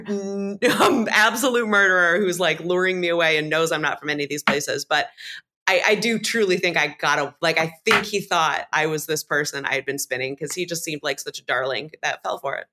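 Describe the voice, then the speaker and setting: A woman's voice: sits low, fairly quick, pushed and bright. Woman telling a rambling story. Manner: laughing, rambling anecdote. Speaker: guest. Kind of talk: casual storytelling.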